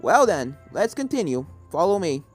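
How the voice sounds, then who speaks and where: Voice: medium pitch, big pitch swings, normal speed, husky, soft background music. A narrator voicing a kindly, serious male pony professor who explains things formally in a read-aloud story. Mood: reassuring.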